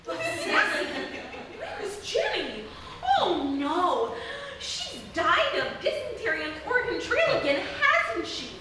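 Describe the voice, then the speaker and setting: A woman's voice: extremely high pitch, wildly swooping pitch, a little slow, pushed and bright, heavily acted. A woman playing a fretful, scatterbrained mother in live comedic radio theatre, exclaiming melodramatically. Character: scatterbrained suburban mother, fretful yet absurdly reassured. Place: theatre stage in front of audience. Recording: echoey live theatre, distant, noisy.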